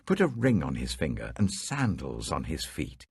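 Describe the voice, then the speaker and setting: A British man acts a tender old father in a scripture story. The voice is heavily husky and leaps up in heartfelt, emphatic swells of joy and forgiveness. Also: very deep voice, huge pitch swings, moderate pace, a little mellow, dry recording, clean studio sound, full character voice. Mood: joyful, urgent.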